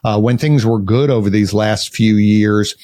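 A man with a big, loud voice and a lowish pitch, talking as a guest on a business podcast. He explains things earnestly in long, winding sentences. The sound is dry and close, with no room around it. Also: measured pace, no echo. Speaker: podcast guest, marketing agency CEO. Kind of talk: casual interview chat.

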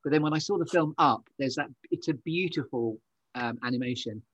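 A man's voice: mid-range voice, fairly quick, fairly bright tone, slightly rough, no echo. A man hosting a podcast in casual interview chat, on slightly dull, lo-fi audio. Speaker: podcast host.

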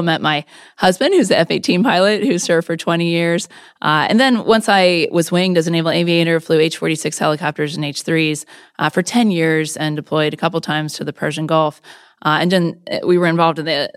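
Fifties woman from Florida, low-pitched, candidate answering questions earnestly, dry close mic. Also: no room sound. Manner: earnest, conversational, frequent restarts. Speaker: political candidate. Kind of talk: interview answers.

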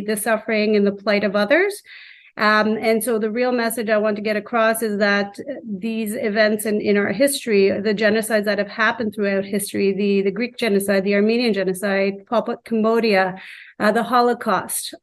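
Even-toned woman teacher explaining. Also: mid-range voice. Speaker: high school English teacher. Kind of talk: interview answer, explaining a class lesson.

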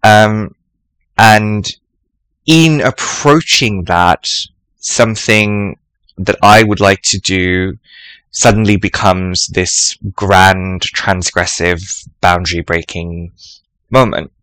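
Low, bright-toned male presenter; measured, reflective delivery with frequent pauses. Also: steady tone, clear, resonant tone, no room sound, low-quality recording. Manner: thoughtful, measured, pauses often to choose words. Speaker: podcast presenter at an academic symposium. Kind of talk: reflective spoken essay.

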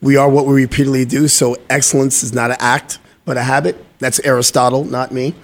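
A man's voice: medium pitch, little pitch movement, fairly quick. Upbeat man, sayings-driven interview guest. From Guyana. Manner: upbeat, talks in motivational sayings. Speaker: guest entrepreneur. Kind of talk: casual interview chat.

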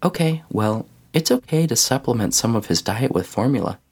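A man's voice: medium pitch, expressive, moderate pace, darkish tone, breathy edge. Man voicing a patient female on-call doctor. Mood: patient, baffled. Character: plain-spoken female on-call doctor, patient, slightly baffled.

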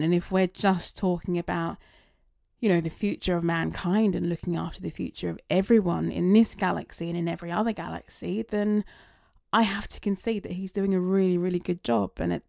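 A woman talking through her thoughts in a chatty, rambling monologue that wanders onto tangents, her voice getting slightly raspy. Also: fairly low pitch, dark tone, dry recording, studio quality. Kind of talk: casual reflective monologue.

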